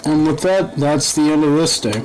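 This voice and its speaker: A young man giving casual, rambling commentary while playing a game, halting and swearing now and then. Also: a little high, steady tone, background noise. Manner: rambling, halting, profane asides. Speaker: gaming YouTuber. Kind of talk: casual let's-play commentary.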